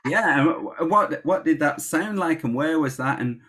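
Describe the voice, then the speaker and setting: A woman interviewing a guest in a casual chat. Her voice sits remarkably low yet moves with a lively, wide pitch range. She asks halting questions, restarting often and murmuring along encouragingly.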